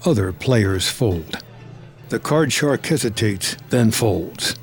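A man narrating scripted drama action in measured, terse storytelling. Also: on the low side, lively intonation, soft background music.

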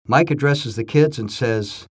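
A man's voice: mid-range voice, unhurried, husky. A man narrating a scripted inspirational story from his own memories.

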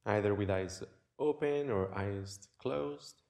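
A man leading a guided meditation in a gentle, unhurried way, leaving long pauses between short phrases. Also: on the low side, gravelly. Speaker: meditation teacher.

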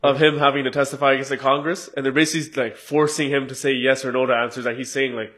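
A man's voice: mid-range voice, flat delivery, quick pace, a bit bright. A young man co-hosting a casual podcast, ranting insistently to make his point. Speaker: podcast co-host. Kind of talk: casual banter.

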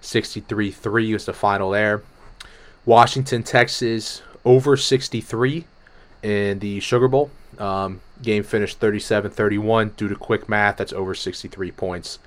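A man with a somewhat low, hoarse voice runs a casual sports podcast. He is fighting off a cold, yet he talks with energy, hops from point to point and swears freely. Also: measured pace. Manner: energetic, profane, jumps between topics. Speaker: podcast host. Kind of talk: casual sports betting chat.

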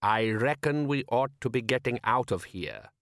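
A man voicing a gruff, hot-tempered middle-aged fisherman father in an audiobook, blustering and snapping in rustic speech. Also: mid-range voice, lively intonation, normal speed, dry recording, studio quality, close to an everyday voice. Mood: uneasy.

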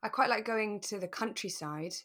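British female co-host, mid-range voice, relaxed conversational style with enthusiastic expression. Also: medium pitch, normal speed. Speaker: podcast co-host and actor. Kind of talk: relaxed chat about a radio drama.

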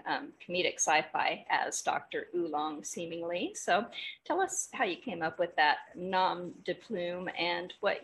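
A woman with a fairly low voice hosts a podcast interview. She speaks conversationally, posing questions and introductions. There is some faint noise in the recording. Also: a bit bright, rough recording. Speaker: podcast host.

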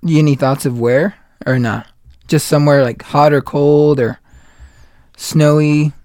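A man's voice: fairly high pitch, steady tone, a little slow, warm tone, dry recording, clean studio sound. A man in his late twenties hosting a casual podcast chat, rambling along with lots of "like" and retold quotes. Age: 20s. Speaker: podcast host.

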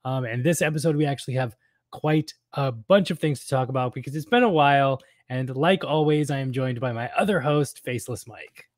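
A man chats as co-host of a fan podcast in a dry recording with little room sound. His pitch is ordinary for a man, and he feels his way through sentences, often repeating himself. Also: brisk, no room sound. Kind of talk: casual fan podcast chat.

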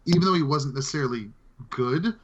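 A man's voice: mid-range voice, quick pace. A man co-hosting a podcast, giving film opinions in casual conversation, with slightly dull, lo-fi sound. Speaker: podcast co-host.

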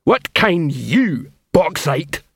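A man voicing a big, slow-witted troll drill sergeant in an audiobook, blustering haltingly in broken grammar. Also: fairly high pitch, very animated, a bit bright, slightly rough, no echo, pitched above the performer's own voice, full character voice. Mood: loud, bossy. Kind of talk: audiobook character voice.

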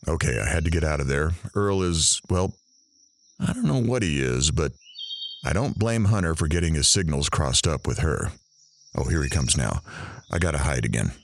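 A man playing an amused, conspiratorial host in an audio drama, confiding casually to listeners in a deep gravelly voice. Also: expressive, moderate pace, slight background noise. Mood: furtive, amused. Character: amused, conspiratorial male host secretly watching the characters.

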